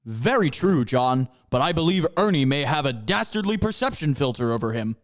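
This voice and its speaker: A man's voice: medium pitch, animated, normal speed, lightly acted. A man voicing an enthusiastic male panther mascot who rallies his students. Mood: rallying. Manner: rallying, enthusiastic.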